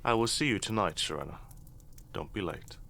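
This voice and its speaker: An actor playing a middle-aged, shrewd and dry-witted noble father in an audio drama, speaking in a measured, formal, wry way. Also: deep voice, animated, creaky, very noisy. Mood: calm, probing.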